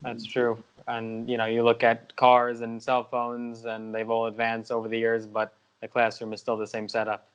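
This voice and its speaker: Flat-voiced young man, smooth tone. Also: mid-range voice, normal speed, poor audio. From United States. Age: young adult. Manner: steady, lots of "uh" and "you know". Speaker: guest. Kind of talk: interview answers.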